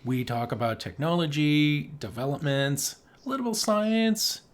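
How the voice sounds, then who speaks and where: A man's voice: fairly high pitch, animated, a little slow. A man co-hosting a podcast, talking casually about his shows. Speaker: podcast co-host.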